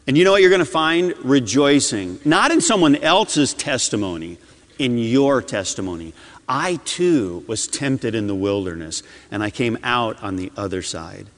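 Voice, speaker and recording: A man's voice: medium pitch, animated, moderate pace. Impassioned preacher man in a reverberant hall. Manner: impassioned preaching, swings between loud and hushed. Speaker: pastor. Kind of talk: sermon.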